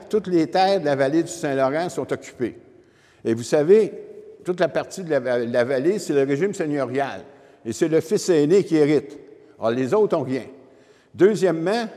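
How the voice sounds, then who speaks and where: A man's voice: on the high side, warm tone. A man in his seventies with a Quebec French accent gives an informal, explanatory history lecture to an audience through a handheld microphone. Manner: explanatory, addresses the audience directly. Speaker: historian giving a public lecture. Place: indoor lecture room with wood panelling. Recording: reverberant hall sound.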